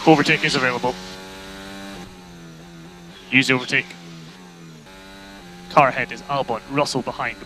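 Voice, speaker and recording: A man's voice: mid-range voice, bright, forceful tone. A man working as a race engineer, giving terse, repeated instructions over motor racing team radio. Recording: noisy team radio with electrical hum.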